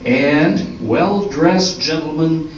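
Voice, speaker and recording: An older man presenting an amateur radio play on stage in a slow, scripted way. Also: fairly high pitch. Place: indoor hall at a live performance. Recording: roomy echoing hall, distant mic, dull, faint noise.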